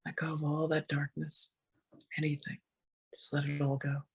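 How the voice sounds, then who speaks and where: A meditation guide with a soft, breathy voice, speaking gently and soothingly with long, unhurried pauses. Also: mid-range voice, completely flat delivery.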